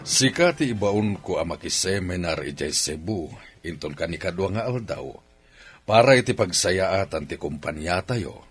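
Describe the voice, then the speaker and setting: A man's voice: sits low, lively intonation, normal speed, breathy edge, faint music behind. A man playing an authoritative wealthy father and company boss in an Ilocano radio drama, with an Ilocano accent. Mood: firm, fatherly. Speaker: voice actor in radio comedy drama.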